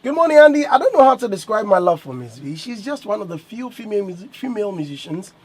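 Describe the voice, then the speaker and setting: A man's voice: high voice, big pitch swings, quick pace, breathy edge. A man hosting a morning radio show, playful, reading out listener messages to his guest. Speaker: radio host.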